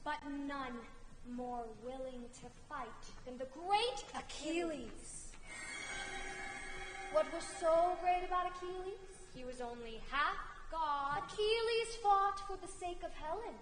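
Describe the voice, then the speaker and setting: Very high, slow chorus storyteller, played by a woman. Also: lively intonation, a bit bright, exaggerated voice. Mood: solemn, storytelling. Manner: measured, declamatory. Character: storytelling chorus narrator of ancient Greek legend. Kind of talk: theatrical scripted narration. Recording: echoey theatre hall, faint music.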